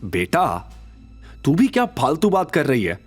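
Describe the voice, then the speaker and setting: Man acting as middle-aged mother, scornful, money-minded, scolding, raised pitch, audio drama. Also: wildly swooping pitch, a little slow, husky, faint music behind, heavily acted. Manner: dismissive, scolding.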